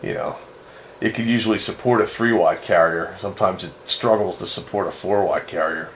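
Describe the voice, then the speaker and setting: A man in casual on-air radio chat, speaking haltingly and repeating phrases, heard over a two-way radio. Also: deep voice. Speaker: amateur radio net operator. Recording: noisy radio transmission with static.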